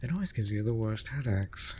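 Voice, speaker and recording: An actor playing a mage's raven familiar in a fantasy audio drama, theatrical and formal, scolding but caring. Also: male voice, fairly low pitch, animated, fairly quick, darkish tone, husky. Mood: chiding, caring. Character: male raven familiar of a storm mage, exasperated but devoted. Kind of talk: scripted fantasy audio roleplay.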